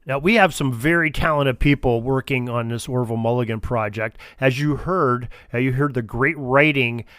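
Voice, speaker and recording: A man's voice: mid-range voice, moderate pace, fairly bright tone, smooth, dry recording. Enthusiastic male podcast host, dry close sound. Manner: conversational, enthusiastic. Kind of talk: casual sports history narration.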